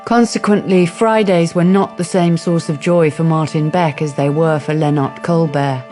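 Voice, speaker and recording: A woman's voice: low-pitched, steady tone, normal speed, warm tone, soft background music. A woman reading scripted literary narration for a radio drama.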